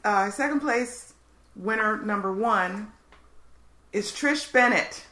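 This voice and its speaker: A woman's voice: mid-range voice, takes it slowly, pushed and bright, reverberant. A woman hosting a podcast, announcing and bantering in a casual, chatty way. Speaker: podcast host.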